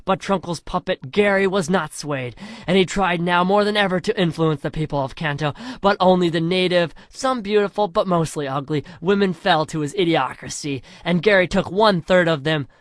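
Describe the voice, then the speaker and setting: A storyteller giving a comedic reading of a crude parody fan story in a mock-epic way, shouting the dialogue and dropping low for the asides. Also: normal speed, no echo, studio quality.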